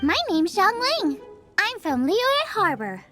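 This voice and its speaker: Bubbly young girl chef, high lively voice, perky anime game delivery over music. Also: slow, full character voice. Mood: eager. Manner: perky, enthusiastic. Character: young female chef, bubbly, eager, food-obsessed. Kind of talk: English anime game voice acting.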